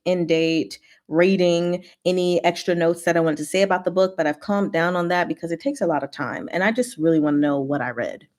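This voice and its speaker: Casual woman livestreamer with a lowish voice. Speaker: livestream host answering viewer questions. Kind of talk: casual livestream chat, reading comments aloud.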